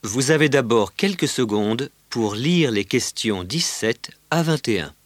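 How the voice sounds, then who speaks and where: A man reading listening-test instructions in a measured, formal way. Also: medium pitch, animated, no echo. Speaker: exam narrator.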